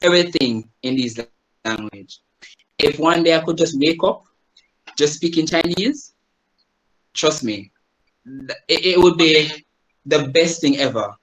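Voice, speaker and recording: A man's voice: fairly high pitch, animated, measured pace, long pauses, fairly bright tone, rough recording. A Jamaican man, a Chinese teacher, explaining things to a group on a video call and often checking in with "right?". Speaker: guest presenter, Chinese teacher. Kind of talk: online presentation to a group.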